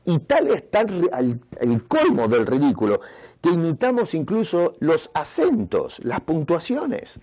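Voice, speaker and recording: A man's voice: a little high, huge pitch swings, a little slow, a little mellow, husky. A man with an Argentine Spanish accent preaching a sermon as pastor, earnest, asking the congregation rhetorical questions. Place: church retreat in Canada.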